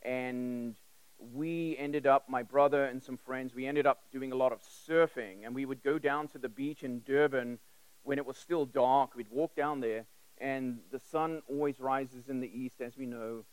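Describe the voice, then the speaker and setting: A man's voice: mid-range voice, steady tone, a little slow, smooth, no echo, rough recording. A man who grew up in South Africa preaching a sermon in a conversational, emphatic way, with direct questions, repeated phrases and personal stories. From South Africa, born in England.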